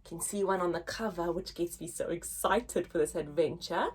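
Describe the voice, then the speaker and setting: A woman reading a picture book aloud to young children in an expressive, sing-song chanting way, drawing out words for drama. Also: fairly low pitch, normal speed, husky.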